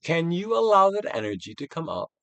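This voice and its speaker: Male stage-actor narrator for a guided self-help reading. Grand, declamatory, very wide melodic range, drawn-out emphasis, recorded dry. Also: fairly high pitch, very animated, gravelly, fairly bright tone, no echo. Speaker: audiobook reader, actor. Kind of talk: theatrical dramatic reading of a self-help book.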